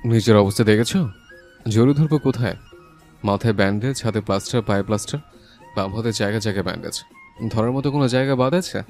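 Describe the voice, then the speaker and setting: A woman's voice: very deep voice, fast, long pauses, darkish tone, soft background music, pitched below the performer's own voice. A woman voicing a stern, scolding young husband in a romantic audio story, curt but caring. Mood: exasperated.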